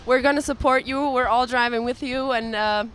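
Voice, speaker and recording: A woman's voice: high voice, steady tone, moderate pace, fairly bright tone, a little hiss or hum. A young woman holding a handheld mic, upbeat and friendly as she interviews players and the coach after a game in an indoor arena. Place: indoor arena, in front of a sponsor wall.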